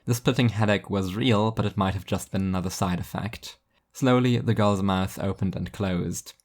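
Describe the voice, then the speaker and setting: A man's voice: low-pitched, normal speed, darkish tone. A man reading scripted fiction as an audiobook narrator.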